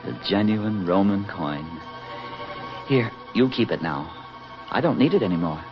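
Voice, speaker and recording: An old, dull-sounding radio recording with music playing behind. an affable young American soldier, lovesick and folksy, in a lowish voice. He woos his sweetheart in ardent 1940s drama style. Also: expressive, a little slow. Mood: tender.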